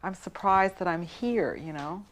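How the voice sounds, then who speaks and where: A woman in her forties speaking in an interview, thoughtful and soft-spoken, often trailing off quietly. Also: lowish voice, unhurried. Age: 40s. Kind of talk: reflective personal interview.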